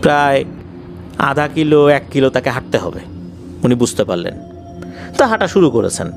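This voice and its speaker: A man's voice: mid-range voice, big pitch swings, normal speed, vocal fry. A man with a Bangladeshi accent narrates paranormal incidents in a conversational, suspenseful way, explaining and repeating details as he goes. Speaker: horror story narrator. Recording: faint music behind the voice. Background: faint background music.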